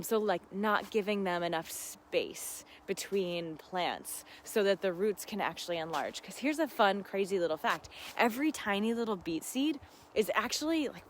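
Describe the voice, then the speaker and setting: Woman, mid-pitched voice, effusive and affirming, giggly, casual live chat, gardening host. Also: a little hiss or hum. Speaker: gardening host answering live viewer questions.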